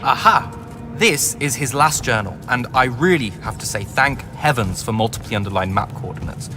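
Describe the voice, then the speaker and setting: A man's voice: medium pitch, animated, moderate pace, over music, natural voice. A man playing a pompous, verbose and sarcastic battle mage in a video game cutscene. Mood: alarmed, fretful. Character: glib, pompous, witty male battle mage.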